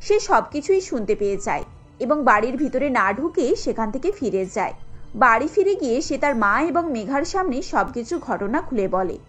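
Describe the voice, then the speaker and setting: A woman's voice: high-pitched, big pitch swings, moderate pace, husky, faint music behind. A woman reading scripted narration for a cartoon family story.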